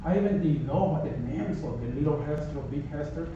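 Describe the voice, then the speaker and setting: A man's voice: on the high side, expressive, brisk, background noise. A man with a non-native English accent speaking as a resident during public comment at a town meeting, earnest with chuckling asides. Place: town office meeting room. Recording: echoey hall, distant mic, dull.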